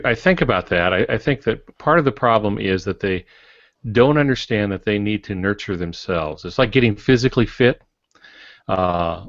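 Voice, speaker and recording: Low-voiced man in an echoey room. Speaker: podcast co-host and writer. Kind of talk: conversational explanation with anecdotes. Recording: dull, echoey room recording.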